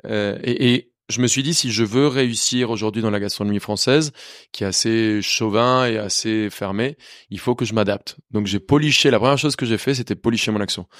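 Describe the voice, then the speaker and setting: A man in his thirties with a low voice and a faint Colombian Spanish accent, speaking his second language fluently. He tells his story in a lively, candid way, stumbling and restarting often. The sound is close and dry, with no room echo. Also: fairly quick. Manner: lively, many restarts and hesitations. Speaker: chef guest.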